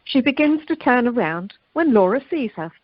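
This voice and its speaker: A woman's voice: a little high, very animated, moderate pace, no room sound, phone-quality sound. A woman narrating a radio play from a script.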